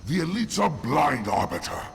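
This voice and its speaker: A man's voice: a little high, wildly swooping pitch, moderate pace, creaky, a little hiss or hum, exaggerated voice. A man playing a bitter, zealous alien heretic leader in a video game, intense and theatrical. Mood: bitter defiance. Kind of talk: dramatic video game acting. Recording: music over dialogue.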